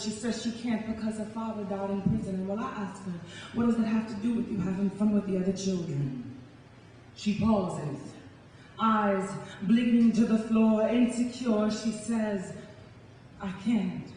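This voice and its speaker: A woman's voice: mid-range voice, warm tone. A young woman, performing as a spoken-word poet at a live event. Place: live on stage in a large echoey hall. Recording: echoey hall from far off, faint noise.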